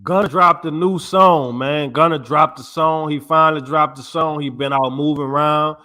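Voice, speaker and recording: A man's voice: fairly high pitch, steady tone, moderate pace, pushed and bright. A man co-hosting a podcast, chatting casually in a laid-back, slangy way. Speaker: podcast co-host. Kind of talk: casual chat.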